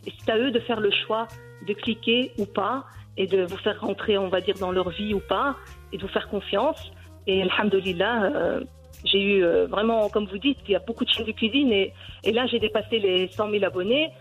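A woman's voice: medium pitch, moderate pace, faint music behind. Woman with a tinny, phone-like sound. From Belgium. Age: 40s. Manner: earnest, hesitant with many fillers. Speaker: cooking YouTuber, guest. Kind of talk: interview answers.

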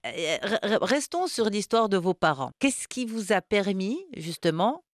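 A woman hosting a podcast who interviews her guest with lively, probing questions. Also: medium pitch, measured pace, dry recording, clean studio sound. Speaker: podcast host.

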